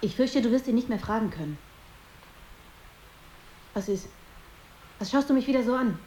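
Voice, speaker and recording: A woman's voice: on the high side, animated, moderate pace, dark tone, roomy sound, lightly acted, low-quality recording. An actress in a German radio drama playing a weary, hurt wife who reproaches and pleads with her husband, slipping into Spanish.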